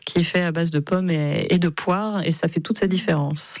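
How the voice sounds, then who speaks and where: A woman's voice: lowish voice, fairly even intonation, moderate pace, a little mellow, no echo. A woman chatting on a radio show, enthusiastic and talkative. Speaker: radio guest. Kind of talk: radio chat.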